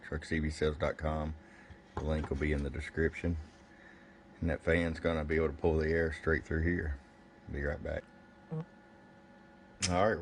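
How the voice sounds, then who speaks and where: A man giving a casual bench review of gear, plain-spoken and thinking aloud as he goes. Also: extremely low pitch. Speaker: product reviewer. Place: indoor electronics workbench. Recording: faint background noise.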